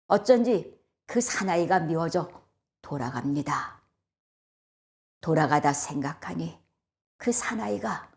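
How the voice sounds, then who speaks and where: A woman voicing an earnest, sorrowful young male poet in a storybook, speaking in a solemn, measured, wistful way. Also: fairly low pitch, a little mellow. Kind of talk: storybook acting and solemn poetry recital.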